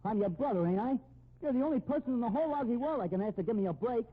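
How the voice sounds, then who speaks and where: An actor playing a desperate fugitive brother in a 1950s radio drama, with hard-boiled slangy delivery on a muffled old recording. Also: male voice, very high voice, expressive, rapid-fire, husky, exaggerated voice. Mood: urgent, pleading. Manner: slangy, hard-boiled tough-guy talk. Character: desperate, cornered fugitive brother, tough small-time crook.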